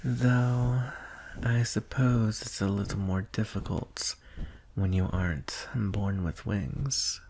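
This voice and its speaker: A man's voice: fairly low pitch, fairly even intonation, a little hiss or hum. A man voicing a teasing, coaxing dragon with a smug streak of menace, in a fantasy ASMR roleplay. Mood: gentle, playful. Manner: slow, coaxing, softly threatening.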